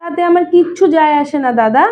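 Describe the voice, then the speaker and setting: A woman's voice: sits high, fairly quick, muffled recording, a little hiss or hum, voice pushed up, natural voice. A woman reading an audio story, playing a reluctant younger man who answers defensively but respectfully. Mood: evasive, protesting. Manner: evasive, protesting, deferential.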